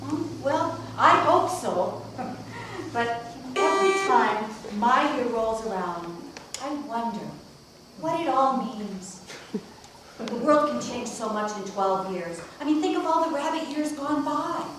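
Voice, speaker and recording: A woman playing a serene, gentle rabbit in a live amateur stage play, speaking in a measured, friendly way. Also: fairly high pitch, expressive. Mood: gentle, musing. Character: serene, gentle rabbit, moon-dwelling zodiac animal. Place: live performance in a roomy indoor space. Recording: echoey noisy hall from far off.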